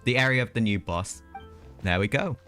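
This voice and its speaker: A man's voice: medium pitch, moderate pace, sharp tone. A man livestreaming a video game, giving casual, chatty commentary with rambling, self-mocking asides. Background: game music.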